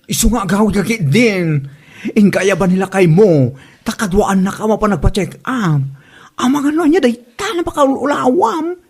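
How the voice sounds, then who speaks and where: A woman acting a blunt, teasing friend with a husky voice in an amateur comedy drama. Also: medium pitch, lively intonation, moderate pace, darkish tone. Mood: blunt, grumbling.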